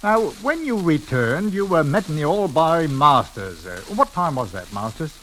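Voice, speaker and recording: Politely persistent police inspector, animated pitch, methodical questioning, vintage radio play with faint hiss. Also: male voice, low-quality recording. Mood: probing. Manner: deliberate, hesitant politeness, tag questions. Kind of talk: 1940s radio drama acting.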